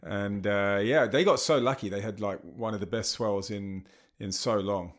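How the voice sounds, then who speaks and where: A middle-aged man with an English accent talks easily as a podcast guest, dropping surf slang between his "uh"s and "you know"s. His voice sits a little low. He tells stories at an unhurried, chatty pace. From England. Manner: relaxed storytelling with surf slang and many fillers. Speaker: charity founder, guest. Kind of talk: casual interview chat.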